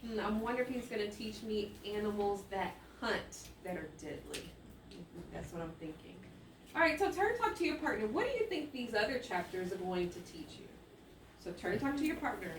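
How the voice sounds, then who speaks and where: A woman teaching children through an interactive read-aloud, thinking out loud and checking in with her listeners. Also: fairly high pitch, big pitch swings, normal speed. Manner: thinking aloud with musing hums and exclamations. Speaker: elementary school teacher. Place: classroom with echo. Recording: roomy, distant mic, faint noise.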